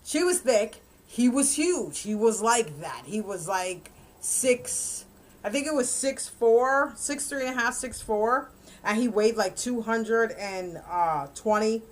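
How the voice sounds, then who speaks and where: A woman's voice: a little high, animated, slow. A woman in her fifties giving a rambling, casual book review, often correcting herself and laughing. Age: 50s.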